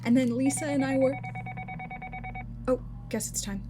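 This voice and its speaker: Woman playing high-voiced, self-assured working mother, Marianne. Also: normal speed, background noise, lightly acted. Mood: fond, proud. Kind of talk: audio drama dialogue.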